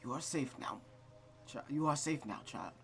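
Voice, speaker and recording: A man doing a kindly village priest from a visual novel, in a calm, measured voice at a middling pitch. He speaks gently, taking his time to reassure. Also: creaky, slight background noise. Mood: reassuring.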